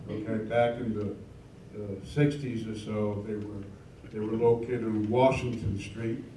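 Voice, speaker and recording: A man's voice: lowish voice, flat delivery, a little slow, mellow. A man asks a long, rambling question from the audience, with many fillers. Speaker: audience member asking a question. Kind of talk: casual question at a Q&A. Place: large banquet hall with audience. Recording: echoey hall, distant, faint noise.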